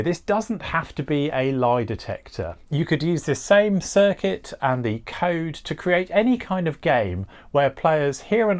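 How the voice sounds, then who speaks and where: A man with a mid-pitched voice presents an informal electronics tutorial. He talks methodically, explaining each step in turn as the host of the channel.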